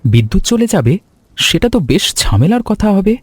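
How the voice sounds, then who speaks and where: A man's voice: sits high, sing-song, a little fast, breathy edge, dry recording, exaggerated voice. A man voicing an earnest, dutiful young married son in a cartoon story. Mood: practical concern.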